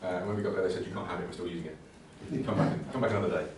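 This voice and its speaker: A man's voice: low-pitched, rapid-fire. A man giving an informal technical presentation, speaking in a hesitant, conversational way and often repeating words. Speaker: presenter at a computing conservation talk. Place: indoor talk venue. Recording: very echoey room, distant mic, faint noise.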